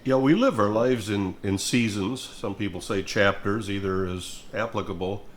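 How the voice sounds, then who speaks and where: An older man speaks in a fairly low voice. His talk is conversational and reflective. He comes across as a plainspoken, thoughtful storyteller. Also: normal speed, slight background noise. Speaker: music minister and host.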